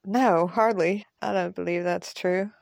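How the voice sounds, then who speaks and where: A woman playing a measured, scholarly male professor in an audio drama, precise and deadpan. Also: fairly low pitch, creaky, no echo. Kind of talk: naturalistic audio drama dialogue.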